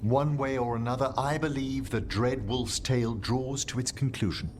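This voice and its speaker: An actor voicing a courtly older professor of necromancy in a video game, speaking in formal, eloquent, philosophical phrases. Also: male voice, medium pitch, normal speed, a little hiss or hum, close to an everyday voice. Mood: solemn, fond. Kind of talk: video game character acting.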